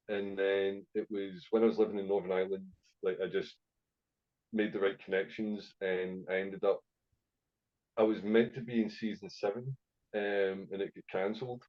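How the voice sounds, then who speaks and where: A Scottish man on a podcast, his voice sitting a little low. He rambles through his stories with fillers and frequent swearing. The audio is somewhat muffled and lo-fi. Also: steady tone, normal speed. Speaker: podcast guest, actor. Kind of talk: casual storytelling interview.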